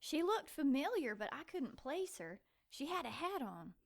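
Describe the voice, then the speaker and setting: An actress playing an excitable, sassy young woman in an audio drama, sarcastic and quick to take offense. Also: high-pitched. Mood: flustered, sarcastic. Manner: sarcastic, feisty, fast-reacting.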